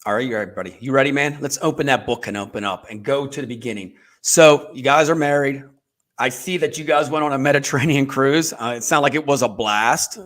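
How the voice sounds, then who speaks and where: A man in his fifties hosting a casual book talk show, energetic and folksy, with many fillers. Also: mid-range voice, brisk. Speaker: host. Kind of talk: casual chat.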